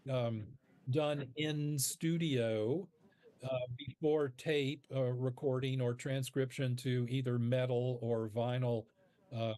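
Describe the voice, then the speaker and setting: A man being interviewed as a radio producer, explaining his work in measured phrases with halting pauses mid-sentence. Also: medium pitch, a little mellow, no echo.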